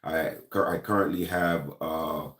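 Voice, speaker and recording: A man's voice: rumbling low, steady tone, a little slow, a bit bright, echoey room. A man from Jamaica with a Jamaican accent, hosting a casual livestream, greeting viewers by name with many ums. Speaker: host of a gun-talk livestream. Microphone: laptop or camera mic.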